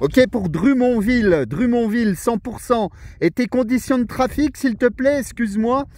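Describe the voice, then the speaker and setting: Male radio hobbyist, French accent, notably high voice, courteous and chatty. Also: normal speed, a little mellow, no echo, studio quality. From France. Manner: friendly, courteous. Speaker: amateur radio operator. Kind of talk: casual radio contact chat. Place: outdoors on a small mountain summit.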